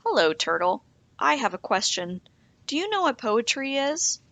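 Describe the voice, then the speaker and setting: Woman as a curious, high-voiced little boy. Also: big pitch swings, moderate pace, a bit bright, dry recording. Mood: curious, polite. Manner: questioning, eager. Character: curious, polite young boy in a picture book.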